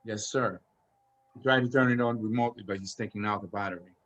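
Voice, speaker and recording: A man's voice: fairly low pitch, steady tone, quick pace, a bit bright, muffled recording, close to an everyday voice. A man acting a dutiful, hesitant army sergeant, reporting formally to his superior in a table read. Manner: formal, clipped, deferential.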